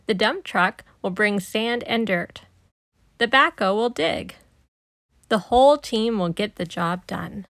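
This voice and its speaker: A woman reading a picture book aloud to young children, animated and emphatic in her storytelling. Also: medium pitch, takes it slowly, no room sound, studio quality. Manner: animated, emphatic on sound-effect words. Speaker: storybook reader. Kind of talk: expressive read-aloud narration for young children.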